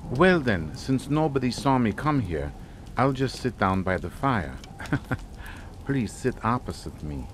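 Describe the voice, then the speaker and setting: Courtly, menacing count in radio suspense; formal, deliberate, richly varied melody. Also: male voice, medium pitch, very animated, a little hiss or hum. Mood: amused, urbane. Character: suave, sinister aristocratic Hungarian count and uncle. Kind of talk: radio suspense drama acting.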